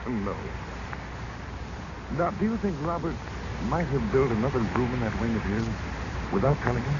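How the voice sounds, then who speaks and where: An actor playing a grave, purposeful middle-aged uncle in 1940s radio drama style, on a dull recording. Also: male voice, medium pitch, lively intonation, normal speed, noisy background, close to an everyday voice. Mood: guarded, purposeful.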